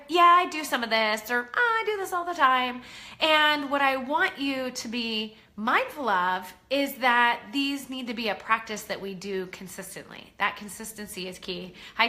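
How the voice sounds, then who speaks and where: A woman's voice: fairly high pitch, pushed and bright. A middle-aged woman with a head cold and a nasal voice, chatting as a parenting coach in a live online class, encouraging and full of ums. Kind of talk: casual live-stream talk to viewers.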